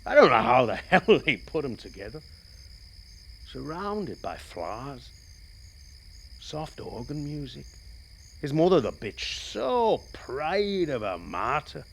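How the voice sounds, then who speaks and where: Guy playing a bitter wry man in a radio drama, gravelly, pitch swings all over the place. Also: sing-song, takes it slowly, long pauses, a bit bright, slight background noise, exaggerated voice. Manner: theatrical, wry, swearing. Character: bitter, sardonic passenger, frightened and pleading. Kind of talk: radio play acting.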